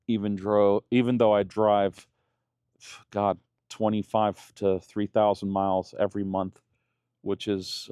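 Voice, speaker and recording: A man's voice: on the low side, dark tone, smooth, no echo, clean studio sound. A man in his thirties, raised in Germany, talking casually in a vlog with many fillers and restarts. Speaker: channel host.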